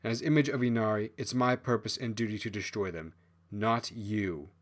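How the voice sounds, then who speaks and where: A man's voice: lowish voice, normal speed, natural voice. A man voicing a proud, imperious female fox spirit in an audiobook fantasy story. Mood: haughty, resolute.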